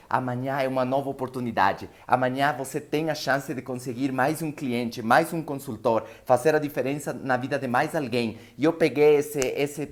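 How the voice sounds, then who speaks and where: A man whose first language is Spanish, with a Spanish-speaking accent, giving a live motivational seminar talk as an emphatic storyteller who keeps checking in with his listeners. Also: mid-range voice.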